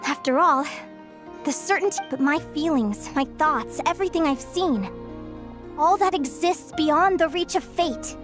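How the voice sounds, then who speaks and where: An actress voicing a cheerful, curious young woman researcher in a video game, talking in an eager, animated way. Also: high voice, unhurried, music in the background. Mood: earnest.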